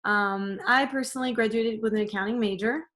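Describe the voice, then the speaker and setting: A woman in her late twenties from southern France, speaking as a podcast guest, soft-spoken and hesitant, with many fillers. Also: fairly high pitch, brisk. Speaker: guest, accountant. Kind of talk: podcast interview.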